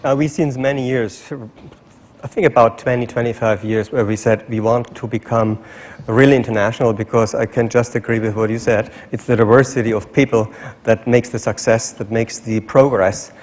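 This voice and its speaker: German accent guy, university boss type, talking on a discussion panel, average pitch. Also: fairly even intonation, moderate pace, a little mellow.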